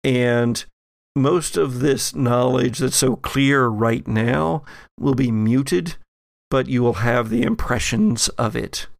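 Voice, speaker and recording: Guy game mastering a horror rpg, kinda gravelly, takes his time, really dry close sound. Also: medium pitch, slow, no room sound. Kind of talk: narrating a tabletop horror game.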